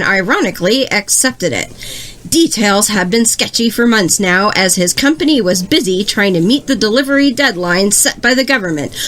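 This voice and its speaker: A woman playing an exasperated, sharp-tongued small-town news anchor in a satirical scripted newscast, with an emphatic, sardonic broadcast delivery. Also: a little high. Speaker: podcast news presenter.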